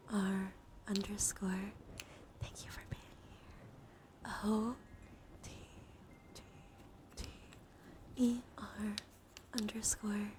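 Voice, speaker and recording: A woman doing live ASMR in a soothing, intimate, encouraging way, drifting between breathy whispers and soft speech. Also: medium pitch. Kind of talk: ASMR, whispered and softly spoken reassurance. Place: small warm room with computers running. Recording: noisy room ambience behind voice.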